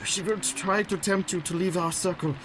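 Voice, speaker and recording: An actor playing an old professor who hunts vampires in a radio play, grave, formal and dramatic. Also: male voice, high-pitched, fairly even intonation, moderate pace, lightly acted. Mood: weary, grave. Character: resolute, weary old male professor, vampire hunter. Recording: clear background noise.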